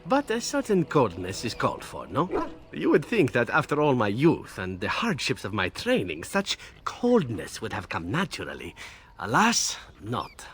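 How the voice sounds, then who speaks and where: Roguish assassin, swooping intonation, wry, theatrical, faint music behind. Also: male voice, medium pitch, huge pitch swings, moderate pace, a bit bright. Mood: wry, nostalgic. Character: suave, roguish male elven assassin, wry storyteller. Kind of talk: video game character acting.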